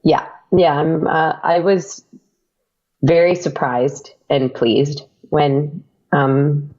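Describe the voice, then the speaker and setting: A woman's voice: low voice, steady tone, extremely slow, a little mellow. A young woman, a podcast creator and actor, chatting casually as a guest and laughing often between fillers. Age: young adult. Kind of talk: casual interview chat. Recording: dull lo-fi sound.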